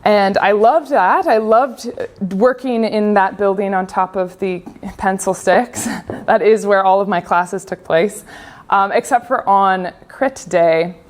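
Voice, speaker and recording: A woman's voice: mid-range voice, takes it slowly. A young woman with a Canadian accent giving a casual, chatty conference talk on design. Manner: casual, chatty with frequent fillers. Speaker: conference speaker.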